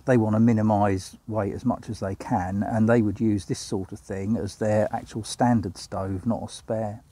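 Relaxed older man in the woods. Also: lowish voice, normal speed, dry recording. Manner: relaxed, chatty, with frequent ums and uhs. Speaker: outdoor vlogger. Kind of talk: casual walk-and-talk vlog. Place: outdoors in a woodland.

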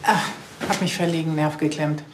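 A woman playing a brisk, matter-of-fact forensic pathologist in a TV crime comedy, with a dry manner. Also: low voice, steady tone, background noise, natural voice.

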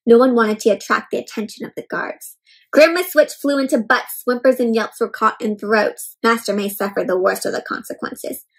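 A young woman reading a storybook aloud to children in an expressive, explanatory way. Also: fairly high pitch, moderate pace, slightly rough. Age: young adult. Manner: expressive, explanatory, asks the listener questions. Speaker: host of a children's read-aloud show. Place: indoor room at home.

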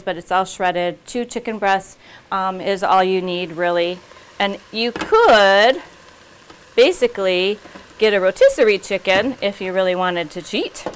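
Slow-talking woman hosting a cooking demo. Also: medium pitch, slight background noise. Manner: chatty, unhurried, many ums and restarts. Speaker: cooking show host. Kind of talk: casual cooking demonstration. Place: home kitchen, indoors.

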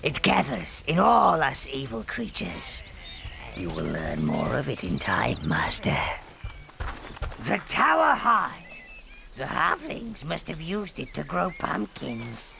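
A man playing an old, fawning, evil goblin advisor in a video game, with a gravelly voice and theatrical, obsequious delivery. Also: fairly high pitch, huge pitch swings, slow pace, heavily acted. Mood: sly, reassuring, then scheming. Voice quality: gravelly, creaky. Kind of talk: scripted video game character acting. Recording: game ambience noise behind voice.

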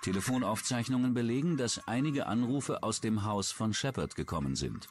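A man's voice: medium pitch, expressive, normal speed, background noise. A man reading scripted true-crime documentary narration.

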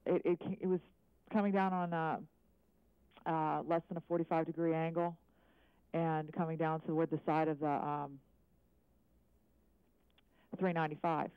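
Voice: medium pitch, level tone, normal speed, pauses often, smooth, no room sound. An eyewitness giving hesitant, careful, unscripted answers in a live news interview. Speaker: eyewitness caller. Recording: narrow, dry phone line.

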